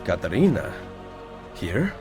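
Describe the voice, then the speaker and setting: An actor playing a confident, charming Renaissance nobleman assassin in a video game, speaking in a suave, measured Italian accent. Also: low-pitched, wildly swooping pitch, over music, heavily acted. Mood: warm, decisive. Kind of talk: video game cutscene acting.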